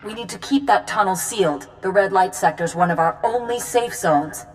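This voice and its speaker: An actress playing a composed military commander in a video game, formal, measured and authoritative. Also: female voice, medium pitch, lightly acted. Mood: firm command. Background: game music.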